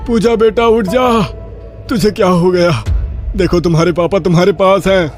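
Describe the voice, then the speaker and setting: Guy doing panicky mom or dad in a horror cartoon, voice pushed high, desperate. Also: moderate pace, soft background music, close to an everyday voice. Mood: frantic. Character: anxious middle-aged parent, frantic over missing only daughter. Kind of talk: Hindi horror cartoon storytelling.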